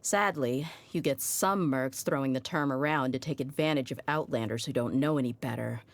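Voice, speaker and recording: A woman voicing a tough, easygoing young desert mercenary in a video game, speaking in a casual, confident, wry and plain-spoken way. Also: low-pitched. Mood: confident, matter-of-fact. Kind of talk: scripted game character voice lines.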